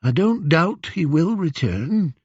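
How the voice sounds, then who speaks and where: A male narrator plays a humble old beggar from a storybook. The voice is hoarse and whining, pitched well up, with a deferential, rustic manner and lively swoops. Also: slow, no room sound, muffled recording, full character voice. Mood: humble, reassuring.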